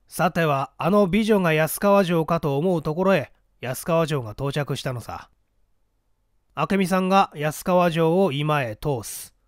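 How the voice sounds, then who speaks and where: A man as a blunt, animated newspaper reporter. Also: fairly high pitch, unhurried, no room sound, clean studio sound. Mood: recounting, brusque. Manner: curt, defensive. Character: blunt, hot-headed male newspaper reporter and witness. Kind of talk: dramatic storybook reading of a mystery.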